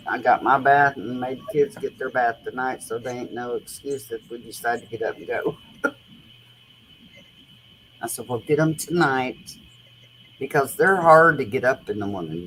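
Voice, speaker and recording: A woman's voice: extremely low pitch, normal speed, a little hiss or hum, low-quality recording. A middle-aged grandmother chatting casually, with homey, rambling talk and folksy grammar. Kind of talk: casual chat.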